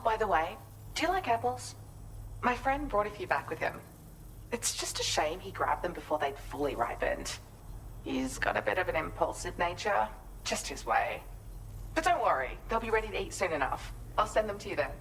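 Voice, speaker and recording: A woman's voice: mid-range voice, big pitch swings, normal speed, a bit bright, slight background noise, rough recording. An actress playing a kind, composed woman prospector and inventor in a video game, speaking politely and articulately in an explanatory way. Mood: warm, grateful. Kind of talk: scripted video game cutscene dialogue.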